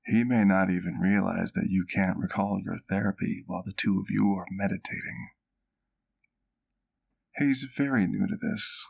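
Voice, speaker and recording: A man's voice: sits low, vocal fry. A man playing a courtly, doting vampire doctor in an ASMR roleplay, speaking intimately to the listener in formal, precise words. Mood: concerned. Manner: formal, precise, measured wording.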